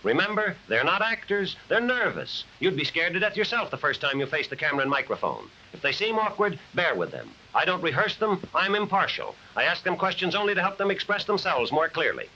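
Old 30s newsreel cameraman, high bright voice, rapid-fire and declamatory, dull hissy film sound. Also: big pitch swings, exaggerated voice. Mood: brisk, earnest. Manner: declamatory, rhythmic, theatrical. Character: brisk, insistent male newsreel inquiring cameraman. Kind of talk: 1930s newsreel narration.

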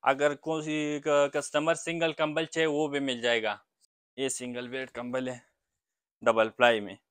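A young man selling blankets in his small shop, eagerly pitching prices and agreeing with quick repeated yeses. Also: level tone, a bit bright, smooth, no room sound. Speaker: blanket shopkeeper. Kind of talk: sales pitch to a vlogger.

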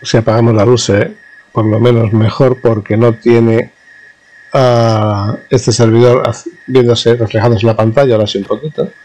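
Man giving a halting technical demonstration. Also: medium pitch, fairly even intonation, darkish tone, rough recording. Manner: halting, self-correcting, explanatory. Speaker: radio communications instructor. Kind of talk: informal hands-on technical demonstration narration.

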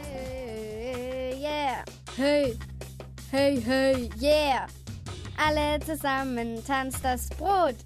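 High-voiced playful little girl. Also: fairly even intonation, extremely slow, soft background music. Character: playful cheerful young girl character on kids' show.

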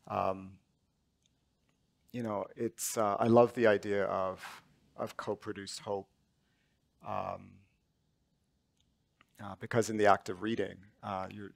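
A man in his fifties talks slowly and haltingly, restarting often as he reaches for the right words. His voice is heavily gravelly and sits on the low side. The sound is close and dry. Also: flat delivery, no room sound. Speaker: author on a panel. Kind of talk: reflective panel talk. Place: large auditorium stage.

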